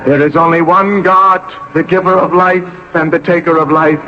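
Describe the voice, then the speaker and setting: A man's voice: on the high side, fairly even intonation, moderate pace, close to an everyday voice. An actor playing a wrathful, imperious pharaoh and grieving father, scornful and declamatory, in 1970s radio drama style. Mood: solemn defiance. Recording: dull, noisy old radio broadcast.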